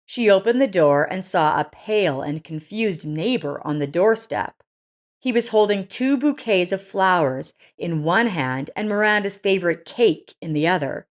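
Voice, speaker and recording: A woman reading a scripted life story as its narrator. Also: fairly low pitch.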